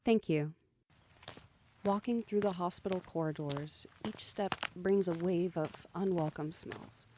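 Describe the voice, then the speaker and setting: A woman playing an anxious, grieving daughter who narrates her own thriller in a somber, measured, introspective way. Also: fairly low pitch, warm tone, slight background noise, lightly acted. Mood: polite, subdued. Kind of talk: dramatic first-person audio drama narration.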